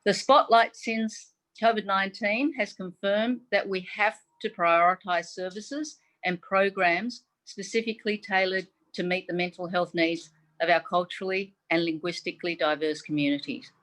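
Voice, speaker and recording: A woman from Taiwan whose first language is Mandarin, a chief medical advisor giving a prepared forum speech in a measured, formal way with frequent "um"s, heard over a video call. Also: mid-range voice, fairly even intonation, a bit bright. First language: Mandarin Chinese.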